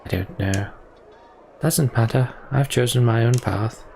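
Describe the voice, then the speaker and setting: A man playing a candid, disillusioned young prince in a fantasy game, speaking in a measured, reflective way. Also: mid-range voice, vocal fry, darkish tone, slight background noise. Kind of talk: voiced game dialogue reading.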